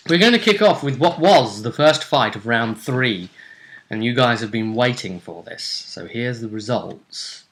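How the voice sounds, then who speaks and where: Male host, bright forward voice, informal and wry, casual recap chat about a fantasy game. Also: medium pitch. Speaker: host of a tabletop role-play series.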